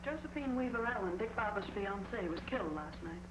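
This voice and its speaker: Woman playing a fast-talking newspaper office gal. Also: mid-range voice, animated, lightly acted. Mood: brisk. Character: brisk, matter-of-fact newspaper office woman. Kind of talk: 1940s film serial acting. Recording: noisy echoey 1940 film soundtrack.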